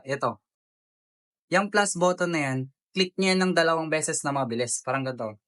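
Clear-voiced Filipino man, casual tutorial. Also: a little high, normal speed, a bit bright. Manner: informal, addresses viewers as friends. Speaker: vlogger giving a product tutorial.

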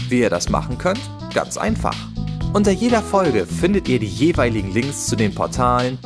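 A man reading a scripted promotional announcement, addressing listeners directly. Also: medium pitch. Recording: music under announcement.

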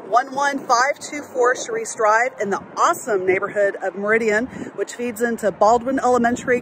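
A woman's voice: fairly high pitch, a bit bright, slight background noise, poor audio. A middle-aged woman presenting a house as a real estate agent, upbeat and promotional, speaking outdoors into a phone. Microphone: phone at arm's length.

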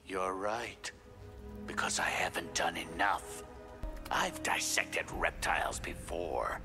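An actor voicing a sadistic, darkly playful masked killer in a video game, gravelly and breathy, mocking as he savors his threats. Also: low voice, takes it slowly, whispered, music in the background. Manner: mocking, menacing, savoring words. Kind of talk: video game villain dialogue.

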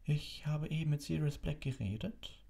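A man acts out an old headmaster from a storybook, composed and authoritative. The voice is creaky and lifted in pitch, courteous yet firm. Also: normal speed, dark tone. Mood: calm.

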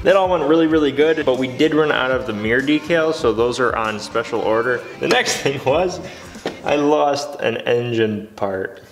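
A man's voice: mid-range voice, moderate pace, faint music behind. A young man in his twenties vlogging from his garage workshop, upbeat and jokey, with a motivational streak. He talks into a handheld camera held close. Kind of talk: energetic workshop vlog. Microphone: handheld camera, close and moving.

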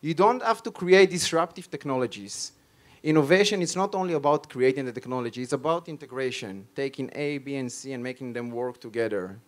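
An Israeli-accented man in his thirties speaks from a conference stage into a handheld mic. His pitch sits in an ordinary middle range. He delivers his points emphatically, in short punchy phrases that he often repeats. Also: brisk. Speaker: conference speaker on startups and innovation. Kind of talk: live stage presentation. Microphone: handheld microphone.

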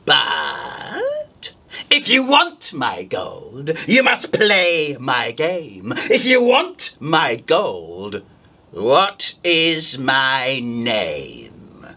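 A storyteller doing a slow sly storybook witch. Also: medium pitch, wildly swooping pitch, sharp tone, breathy edge, voice pushed down, exaggerated voice. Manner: slow, drawn-out, sinister taunting. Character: greedy scheming witch.

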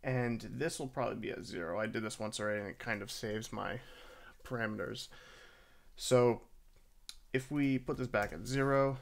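A man narrating a software tutorial in a casual, halting, self-deprecating way. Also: mid-range voice, fairly even intonation, creaky, slight background noise. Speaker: tutorial host. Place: voice-over for a screen recording.

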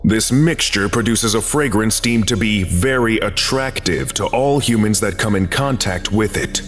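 A man narrating a scripted archive briefing in a formal, measured, clinical manner. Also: lowish voice. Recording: long reverb, music behind. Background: music.

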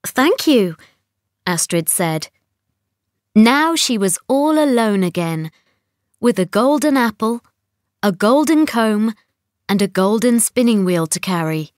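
A woman narrating a children's storybook in an expressive, scripted reading style. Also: a little high, measured pace, pauses often, dry recording, clean studio sound.

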